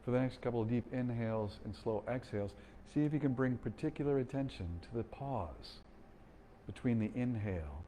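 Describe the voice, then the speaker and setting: A man guiding a slow breathing meditation, speaking calmly and gently with long pauses. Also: lowish voice, a little hiss or hum. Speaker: meditation guide.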